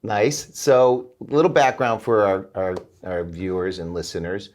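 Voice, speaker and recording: A man's voice: on the low side, steady tone, a little slow, darkish tone. A man hosting an interview show, guiding the talk with casual questions and short, encouraging agreements. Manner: affirming, frequent short agreements and laughs. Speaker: interview host.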